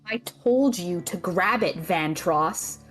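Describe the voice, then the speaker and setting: A woman playing a sharp-tongued, responsible female student mage in an audio drama, blunt and sardonic. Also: on the low side, expressive, slow, soft background music. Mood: exasperated.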